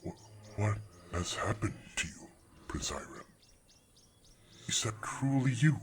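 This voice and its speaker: A man's voice: fairly low pitch, very animated, slow, background noise. A man voicing a huge old dragon guard in a fantasy audio drama, haughty and suspicious, with grandiose, threatening, archaic speech. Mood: stunned, tender. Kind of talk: fantasy audio roleplay acting.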